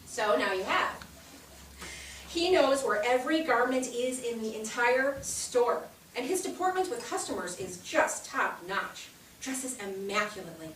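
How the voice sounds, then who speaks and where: An actress in a staged reading playing a bubbly, bossy 1860s society wife, gushing and insistent in a theatrical way. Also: female voice, fairly high pitch, lively intonation, unhurried, fairly bright tone. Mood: playful, insistent. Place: theatre stage, recorded from a distance. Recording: echoey stage, distant mic, faint noise.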